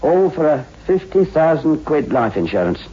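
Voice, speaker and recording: A brisk, wry police detective in a vintage radio crime drama. His chatty delivery swings widely in pitch, through a muffled recording with light hiss. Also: sing-song. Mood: brisk explaining. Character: brisk, wryly amused male London police detective. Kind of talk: 1960s radio drama acting.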